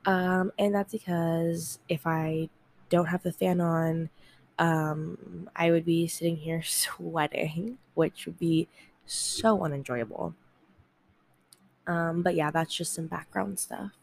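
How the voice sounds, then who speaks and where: A woman talks through her podcast at home in a low voice, with a gravelly fry running through it. She sounds stuffed up and nasal from congestion. Her talk is loose and rambling, with plenty of ums and restarts. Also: fairly even intonation, slow pace. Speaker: podcast host. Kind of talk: casual solo podcast talk. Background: fan whir.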